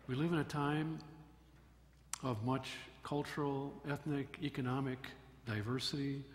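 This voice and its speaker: Older male pastor, mid-pitched, measured sermon storytelling, pulpit mic in an echoing sanctuary. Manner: measured storytelling delivery with emphatic rises. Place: church sanctuary at a wooden pulpit. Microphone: pulpit microphone. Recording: long church reverb, faint room noise.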